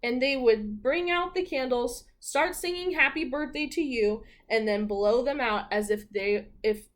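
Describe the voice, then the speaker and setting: A woman primary school teacher reading a picture book aloud to children, expressive and instructive, pausing to put questions to the class. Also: a little high, a little hiss or hum.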